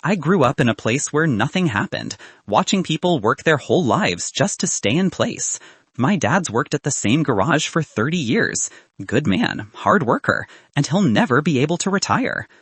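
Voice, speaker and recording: Audiobook role: young male student entrepreneur acted by a man. Earnest, slightly defensive, animated, husky. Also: a little high, moderate pace, dry recording, voice pushed up, exaggerated voice. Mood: earnest, wistful.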